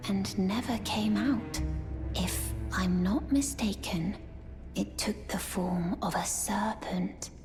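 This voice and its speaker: An actress playing a timid, troubled young serpent-woman, hesitant and half-whispering, in a dark fantasy game. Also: medium pitch.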